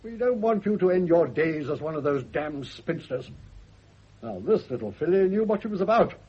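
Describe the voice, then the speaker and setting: A man's voice: on the high side, animated, moderate pace, husky. A man playing a domineering old British general in a radio drama, blunt, bossy and full of opinions. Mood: testy. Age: older. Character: crotchety, domineering old retired general and father. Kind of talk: 1970s radio drama acting. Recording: dull lo-fi old radio recording.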